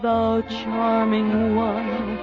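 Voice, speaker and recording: A woman's voice: a little high, completely flat delivery, drawn-out words. A woman singing a farewell song on a 1940s radio broadcast. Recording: dull 1940s radio recording, loud music. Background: loud musical accompaniment.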